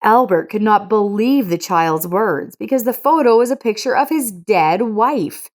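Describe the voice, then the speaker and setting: A woman's voice: mid-range voice, lively intonation, measured pace. A woman reading a scripted story as its narrator.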